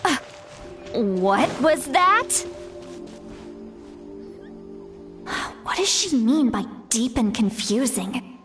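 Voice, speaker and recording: A woman's voice: a little high, very animated, slow pace, fairly bright tone, exaggerated voice. A woman playing a fondly fussy, self-assured shaman elder who wants to seem youthful, in a video game. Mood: muttering, fretful. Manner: chatty, self-assured, lightly fretful about seeming old-fashioned. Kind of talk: video game character acting. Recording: background music under the voice.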